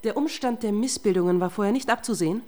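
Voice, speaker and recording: A woman's voice: medium pitch, fast talker, mellow, close to an everyday voice. An actress playing a tough, relentless female police detective in a German radio crime drama, confrontational and sardonic. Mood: pressing.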